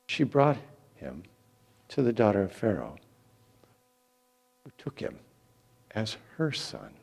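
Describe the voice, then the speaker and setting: A man giving a dramatic scripture reading, theatrical and deliberate, with dramatic pauses. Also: lowish voice, vocal fry, a little mellow, husky. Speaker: Bible reader.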